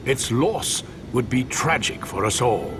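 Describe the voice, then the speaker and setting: An actor playing a composed, courteous king in a fantasy game cutscene, speaking in a formal, measured, authoritative way. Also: mid-range voice, big pitch swings, over music. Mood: resolute. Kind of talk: scripted video game cutscene acting.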